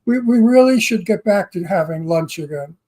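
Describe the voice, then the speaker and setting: A man's voice: high-pitched, lively intonation, moderate pace, warm tone. A man co-hosting a radio interview show, cracking jokes and teasing the guests. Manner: joking, teasing. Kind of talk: casual interview chat.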